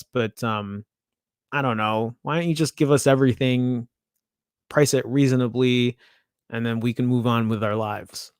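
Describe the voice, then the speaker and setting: A man with a Chicago accent hosts a loose tech news show. He reads the article aloud, then drifts into offhand asides and trips over words now and then. His voice sits at an ordinary middle pitch, recorded close and dry with no room sound. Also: darkish tone, no room sound. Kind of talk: casual tech news commentary.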